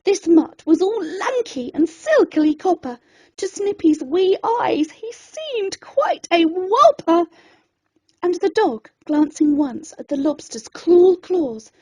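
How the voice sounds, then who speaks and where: Woman storyteller, high pitch, slow pace, expressive rhyming narration, theatrical, deliberate. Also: poor audio.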